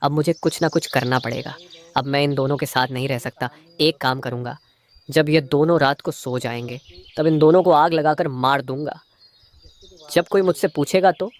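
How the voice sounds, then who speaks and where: A man's voice: fairly high pitch, normal speed, natural voice. A man voicing a stingy, two-faced crow husband in a moral-story cartoon, a schemer who feigns sweetness to his wife. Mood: dismayed, scheming.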